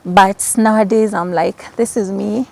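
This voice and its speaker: Casting: young female Kenyan guest, Kikamba first language, mid-pitched and even, chatting casually about herself. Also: a little slow, vocal fry. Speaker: interview guest. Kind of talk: casual personal talk.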